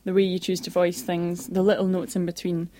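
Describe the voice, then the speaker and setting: A woman's voice: fairly low pitch, completely flat delivery, brisk, warm tone. A woman musician answering interview questions in a thoughtful, hesitant way. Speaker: musician guest.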